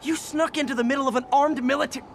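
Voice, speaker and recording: An actor playing a young, quippy, well-meaning superhero in a video game, awkward and self-deprecating. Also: male voice, very high voice, fairly even intonation, very fast, gravelly, fairly bright tone, slight background noise, full character voice. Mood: flustered. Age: young adult. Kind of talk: naturalistic video game acting.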